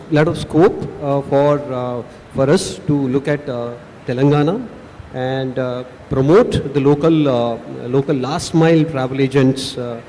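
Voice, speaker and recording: A man with an Indian English accent giving halting panel remarks full of fillers and restarts. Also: a little high, mellow. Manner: hesitant, many fillers and restarts. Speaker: panelist, film city executive. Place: large conference hall. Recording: echoey hall, faint noise.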